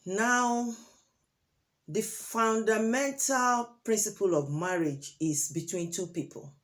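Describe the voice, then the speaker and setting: Nigerian lady vlogging her opinions, yoruba first language, slow and careful, lots of uhs and ums, gets emphatic sometimes. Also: medium pitch. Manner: deliberate, with many hesitations and fillers; rises into emphatic, preaching tone on key points. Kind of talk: opinion monologue to camera.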